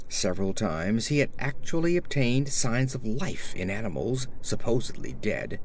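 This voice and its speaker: A man narrating a scripted horror story in a measured, deliberate, formal way. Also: mid-range voice, a little hiss or hum. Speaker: audiobook narrator.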